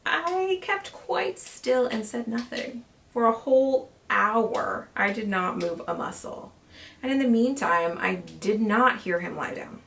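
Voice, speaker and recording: A woman voicing a nervous, secretly gleeful mad narrator who insists on being sane, read as dramatic horror storytelling with intense emphasis and breathy drops. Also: a little high, animated, unhurried, fairly bright tone, exaggerated voice. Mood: hushed, suspenseful. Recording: distant mic with background noise.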